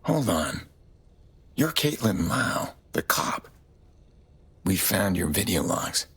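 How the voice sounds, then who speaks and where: An actor playing a grim, stoic middle-aged vigilante hacker in a video game, terse and brooding, with a low gravelly rasp. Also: male voice, sing-song, slow pace, fairly bright tone, exaggerated voice. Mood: concerned, probing.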